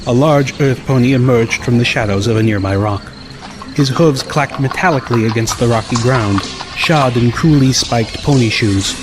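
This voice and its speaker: A man narrating a first-person story as an audiobook, reading in a measured, dramatic way. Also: medium pitch, low-quality recording. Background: music.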